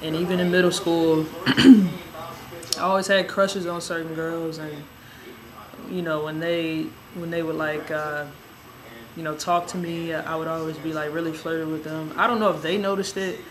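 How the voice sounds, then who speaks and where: A young woman with a raspy, hoarse voice telling a personal story in a relaxed, rambling way with many fillers. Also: sits low, moderate pace, a little hiss or hum, low-quality recording. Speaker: vlogger. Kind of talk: casual personal storytelling to camera.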